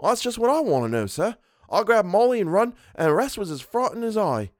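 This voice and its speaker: A man's voice: high voice, very animated, fairly quick, a little mellow, no room sound, studio quality, voice pushed up. A man voicing a young Cockney servant in a story, deferential and colloquial, by turns sheepish and indignant. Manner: colloquial, deferential, full of sirs.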